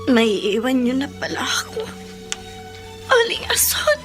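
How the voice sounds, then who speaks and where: A woman's voice: high-pitched, very animated, a little slow, over music. An actress playing an anguished, secretive mother in a radio melodrama, pleading and emotional. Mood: sad. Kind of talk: Tagalog radio drama melodrama.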